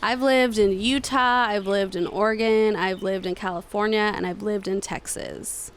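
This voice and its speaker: A woman co-hosting a casual podcast, chatty and emphatic, quick to laugh. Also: medium pitch, steady tone, smooth. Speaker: podcast co-host. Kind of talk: casual chat. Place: indoors, seated smoking.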